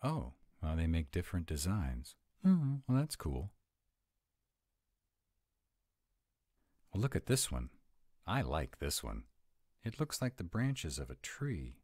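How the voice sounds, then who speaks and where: A man playing a gruff, grumbling tsundere were-dragon who is secretly soft, in an audio roleplay addressed to the listener. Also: deep voice, sing-song, a little slow, dry recording, studio quality, heavily acted. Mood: softening, delighted. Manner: grumbling, exasperated, softening into boyish eagerness.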